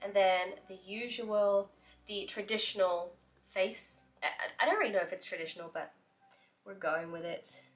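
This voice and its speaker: A woman's voice: mid-range voice, steady tone, moderate pace, faint music behind. A woman vlogging at home in a casual, bubbly, chatty way, still sick with a cough. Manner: bubbly, chatty, laughs often. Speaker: craft vlogger. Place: home, spare craft bedroom.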